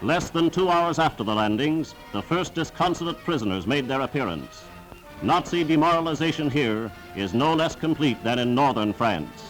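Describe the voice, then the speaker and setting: A man's voice: medium pitch, expressive, normal speed, rough recording. Old wartime newsreel announcer guy, emphatic scripted delivery, vintage 40s sound, music under him. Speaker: newsreel narrator.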